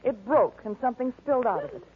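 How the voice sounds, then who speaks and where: A narrow, tinny vintage radio recording of a distressed young American client. She speaks earnestly and pleadingly, with a breathy, husky edge in period drama style. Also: sits high, animated, fast talker. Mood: earnest, explaining.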